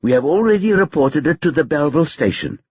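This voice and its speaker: A man voicing a polite, brisk female hospital nurse giving news about a patient. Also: on the high side, expressive, a little mellow, husky, dry recording, dull audio, voice pushed up. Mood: helpful. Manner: polite, informative.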